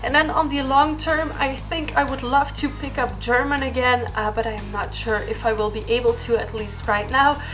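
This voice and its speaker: High-voiced young woman. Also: steady tone, moderate pace, few pauses, a bit bright, faint music behind. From Belgium. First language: Dutch. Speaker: vlogger. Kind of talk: casual question-and-answer vlog to camera.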